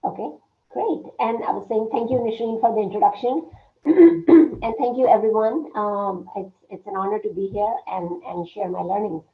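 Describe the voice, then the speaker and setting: A woman giving an online workshop presentation with a strained, hoarse voice, speaking haltingly with many fillers and frequent throat clearing. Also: medium pitch. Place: indoor room at home. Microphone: laptop webcam on a video call. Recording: phone-like video call audio, roomy echo.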